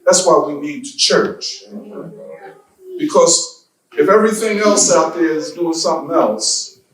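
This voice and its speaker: Slow man preaching in an echoey church. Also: on the high side, slight background noise. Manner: slow deliberate preaching with rhetorical questions. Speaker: guest speaker. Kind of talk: church lecture speech. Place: church hall. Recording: echoey hall from far off.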